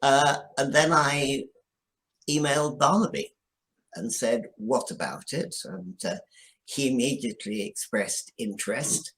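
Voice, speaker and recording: A man speaking as an interview guest, telling an account conversationally with hesitant, halting starts. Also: medium pitch, a bit bright, poor audio. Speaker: guest, scriptwriter. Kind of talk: interview answer, conversational storytelling.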